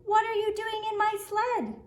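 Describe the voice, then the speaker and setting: A woman voicing an indignant man in a racing suit for a children's storybook, calling out in loud, drawn-out exclamations. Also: very high-pitched, completely flat delivery, pitched above the performer's own voice. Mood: indignant alarm. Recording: roomy echo, faint background noise.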